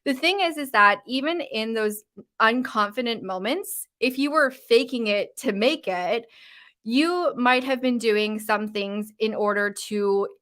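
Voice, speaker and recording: Bright-voiced Canadian woman with uptalk. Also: on the high side, unhurried. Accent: Canadian, West Coast. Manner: uptalk, informal with frequent fillers. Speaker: confidence coach. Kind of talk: casual live-stream talk. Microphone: live-stream mic.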